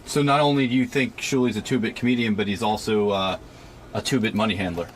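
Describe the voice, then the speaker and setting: A man's voice: on the low side, steady tone, fast, a little hiss or hum. A man doing a casual interview as the one asking questions.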